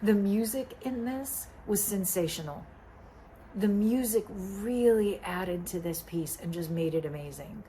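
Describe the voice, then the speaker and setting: A woman's voice: mid-range voice, a little slow, warm tone, low-quality recording. A woman giving an effusive, emphatic spoken review as an audience member. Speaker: film festival audience member. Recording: faint background noise.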